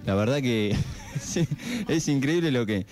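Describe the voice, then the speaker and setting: A man's voice: medium pitch, animated, unhurried. A man with an Argentine accent chatting casually as a radio host. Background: soft guitar music.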